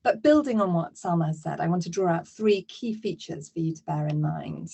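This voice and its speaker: A woman's voice: on the low side, expressive, brisk, gravelly, mellow, slightly rough. A woman presenting a webinar lesson, explaining steadily with occasional fillers.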